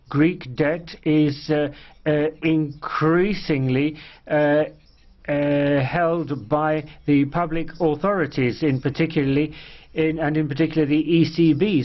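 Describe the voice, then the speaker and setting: A man with a slightly raised voice argues his case in a parliamentary debate, pausing on hesitations as he presses his point. The broadcast audio sounds dull and muffled. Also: a little high. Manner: argumentative, with fillers and hesitations. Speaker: member of parliament. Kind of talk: debate speech.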